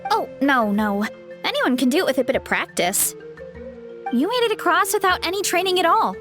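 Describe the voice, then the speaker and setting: A woman's voice: very high-pitched, big pitch swings, a little fast, music in the background. An actress playing a cheerful, modest young woman warrior in an anime-style video game, with an earnest, bubbly delivery. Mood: modest, reassuring. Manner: earnest, bubbly, self-effacing.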